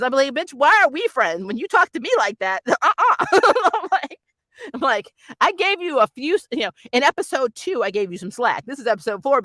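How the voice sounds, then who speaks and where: A host chatting casually through a fan review, animated and blunt, with plenty of slang. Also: medium pitch, no room sound. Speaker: review host reading viewer comments.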